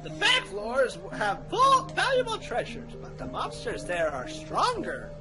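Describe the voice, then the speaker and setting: Man voicing an old male guide, hammy, lecturing, lively pitch, game music behind. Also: unhurried, heavily acted, low-quality recording. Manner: theatrical, booming, hammy reading of game text. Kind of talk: voicing game dialogue over a playthrough.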